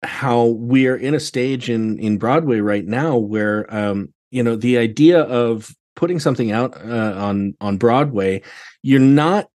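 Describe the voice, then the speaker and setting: American man, animated podcast guest. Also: medium pitch, a little slow. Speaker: podcast guest, theatre director. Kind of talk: casual chat.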